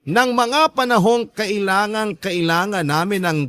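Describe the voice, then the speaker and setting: A man with a Filipino accent narrating as a sorrowful grown child in an earnest, measured radio drama letter style. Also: high-pitched, fairly bright tone, no room sound, clean studio sound. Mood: sorrowful, reflective. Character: sorrowful grown child recounting a father's betrayal.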